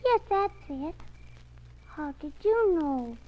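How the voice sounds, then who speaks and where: A woman's voice: high voice, expressive, slow. A woman playing a sweet, trusting, stubborn little girl in a 1940s radio drama, innocent and literal in manner. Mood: sweet, candid. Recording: muffled vintage 1940s radio recording.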